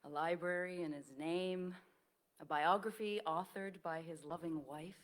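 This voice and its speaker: A woman's voice: lowish voice, steady tone, measured pace, close to an everyday voice. An actress playing a loyal, witty, self-assured woman friend in a staged reading of a period drama, speaking formally and teasingly. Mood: reassuring.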